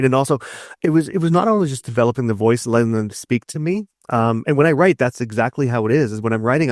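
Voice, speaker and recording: A man's voice: mid-range voice, dry recording. Rambling, enthusiastic man, dry close sound. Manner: rambling, repeats words in bursts, enthusiastic storyteller. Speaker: interview guest, narrative designer and writer. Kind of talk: casual conversational interview.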